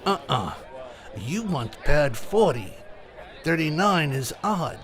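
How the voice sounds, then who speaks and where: A male actor as a shady racetrack tipster in a radio comedy. He talks slowly in short, confidential phrases, his voice a little high, with a faint crowd behind him. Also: big pitch swings, fairly bright tone, slight background noise, exaggerated voice. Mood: sly, confidential. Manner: clipped, conspiratorial tipster patter. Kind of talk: radio comedy recreation acting.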